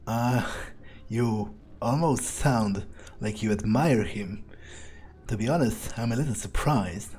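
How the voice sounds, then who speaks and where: A man's voice: mid-range voice, slow, a little mellow, close to an everyday voice. A man role-playing a cynical, arrogant vampire in a game playthrough, musing aloud in a casual, hesitant way. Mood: musing, polite. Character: cynical, arrogant male vampire thinking aloud, coolly skeptical. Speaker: let's player role-playing in character. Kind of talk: in-character game playthrough commentary.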